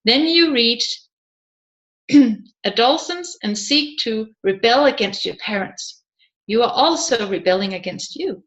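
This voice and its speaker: A woman reading a scripted audiobook in a measured, deliberate way, phrase by phrase. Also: a little high, fairly bright tone, muffled recording. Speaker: audiobook narrator.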